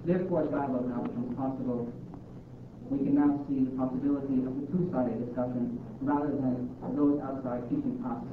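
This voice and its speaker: A man moderating a public talk, giving a thoughtful academic comment with hesitant "uh" pauses. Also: mid-range voice, steady tone. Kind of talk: lecture-style commentary. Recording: echoey hall from far off, tinny and noisy.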